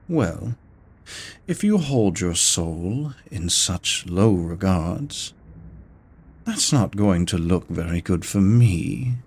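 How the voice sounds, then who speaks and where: A man's voice: deep voice, animated, a little mellow, a little hiss or hum, heavily acted. A man voicing a seductive, courteous immortal incubus demon in a roleplay audio drama, speaking to the listener in a silky, theatrical way. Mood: playfully exasperated. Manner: slow, silky, theatrical, courteous with drawn-out words. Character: seductive, teasing male incubus demon, immortal deal-maker.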